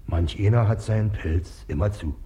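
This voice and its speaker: An actor playing an older, timid river boatman in a 1950s radio play, with a rough voice and plain, deferential rustic speech. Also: fairly low pitch, normal speed, mellow, lightly acted. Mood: startled, deferential. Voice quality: husky, rough.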